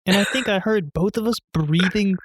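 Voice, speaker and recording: A young man with a somewhat high voice, co-hosting a podcast in a loose, chatty way. His talk is full of fillers like "like" and "you know". The recording is dry and close. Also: normal speed, no echo. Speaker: podcast co-host. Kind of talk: casual chat.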